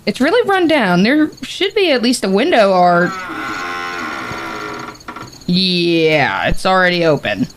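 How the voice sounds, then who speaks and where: An actress playing a bossy, teasing young woman who leads a group of explorers in an audio drama, with a brisk, dry manner. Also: medium pitch, big pitch swings, noisy background. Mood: wary resolve.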